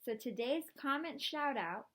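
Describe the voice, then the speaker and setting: A woman teacher reading a storybook aloud and talking to her students in an expressive, encouraging way. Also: high-pitched, unhurried. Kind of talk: storybook read-aloud and lesson to camera.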